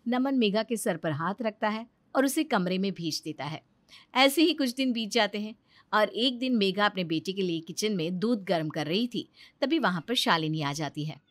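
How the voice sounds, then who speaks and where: A woman's voice: mid-range voice, lively intonation, normal speed, no echo. A woman reading scripted narration for a moral story.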